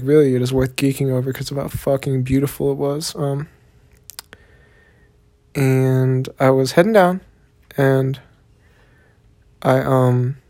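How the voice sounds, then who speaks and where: A man's voice: medium pitch, warm tone. Rambling young Texan man, Southern drawl. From Texas, USA. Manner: rambling, laid-back, profane, heavy on fillers. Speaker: podcast host. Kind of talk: solo podcast monologue.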